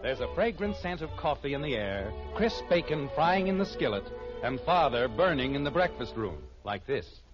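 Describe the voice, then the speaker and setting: Lively American radio announcer over loud music. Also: male voice, a little high, normal speed. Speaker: show announcer and narrator. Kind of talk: scripted 1950s radio narration and announcing. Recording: dull old radio recording under loud music.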